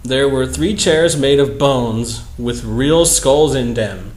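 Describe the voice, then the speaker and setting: A man's voice: medium pitch, slow, a little mellow, reverberant, rough recording. A man giving a mocking dramatic reading with casual, amused, sarcastic commentary. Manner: amused, sarcastic, often breaking into giggles. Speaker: commentary show host.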